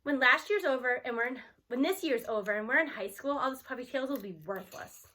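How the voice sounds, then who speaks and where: A woman voicing a sharp-tongued, loyal middle-school girl in a children's storybook, with an emphatic, scolding manner. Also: high-pitched, fairly quick. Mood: indignant.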